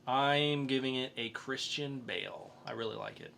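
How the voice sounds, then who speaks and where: A man hosts a casual review podcast. His voice is bright and medium in pitch, in an easy conversational style. Faint background noise sits behind him. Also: huge pitch swings, normal speed, vocal fry. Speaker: podcast host. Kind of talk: casual comic book review chat.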